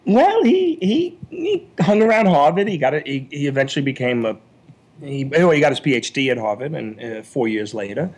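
A man speaking as an author being interviewed, explaining ideas in an analytical way with halting, repeated word starts. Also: fairly high pitch, lively intonation, darkish tone, breathy edge. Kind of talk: interview discussion, explanatory and scholarly.

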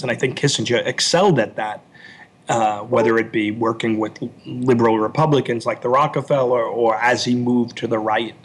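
A man speaking as an author being interviewed, explaining ideas in an analytical way with halting, repeated word starts. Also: medium pitch, a little hiss or hum, poor audio. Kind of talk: interview discussion, explanatory and scholarly.

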